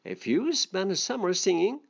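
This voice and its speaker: Fable role: industrious, disapproving ants, voiced by a narrator, higher pitched, slightly muffled recording. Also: very animated, normal speed, vocal fry. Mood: scornful. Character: stern, industrious ants scolding an idler. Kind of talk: storybook dialogue read for children. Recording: dull, muffled lo-fi sound.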